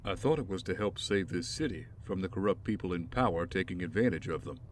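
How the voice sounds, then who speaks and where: Man as a low-voiced, steady ex-soldier. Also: fast. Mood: firm encouragement. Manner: conversational, encouraging. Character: steady, supportive ex-soldier, male partner of a vigilante. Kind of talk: scripted audio drama acting. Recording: background noise with ambience.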